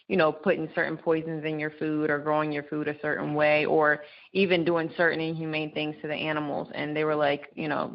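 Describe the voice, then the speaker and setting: Female discussion participant, very low flat voice, informal and rambling, on dull call audio. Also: gravelly. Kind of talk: casual discussion, recounting.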